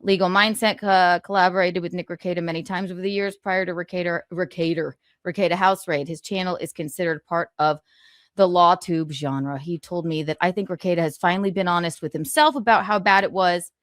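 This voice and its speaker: A woman's voice: on the low side, fairly even intonation, a little fast, no room sound, clean studio sound. A woman livestreaming, switching between casual chat and reading book passages aloud, with gloating, sarcastic, theatrical emphasis. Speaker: streamer.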